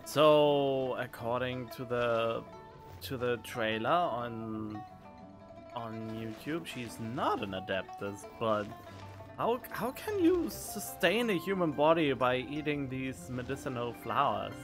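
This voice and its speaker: A man giving casual let's play commentary as a game streamer, halting and repeating words as he thinks aloud. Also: mid-range voice, expressive, music in the background.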